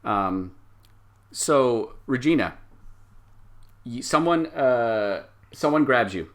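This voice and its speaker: A man narrates an improvised tabletop game as its game master. His voice is mid-pitched, bright and forceful, and he builds scenes vividly in halting pieces with many pauses. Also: lively intonation.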